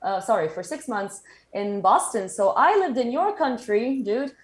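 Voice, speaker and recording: A woman in her thirties from Morocco, a guest chatting casually in an interview, talking lively and laughing often. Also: a little high, unhurried.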